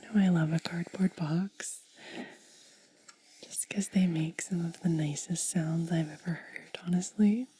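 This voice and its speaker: Female ASMR host, hushed whisper, low-pitched and mellow, unhurried short phrases. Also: steady tone, a little hiss or hum. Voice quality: breathy, whispered. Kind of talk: soft-spoken ASMR.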